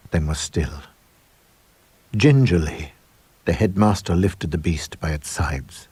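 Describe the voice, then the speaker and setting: A middle-aged man narrating a supernatural tale as a scripted dramatic reading, measured and suspenseful. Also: deep voice, a little mellow, slightly rough, no room sound. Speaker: audiobook narrator.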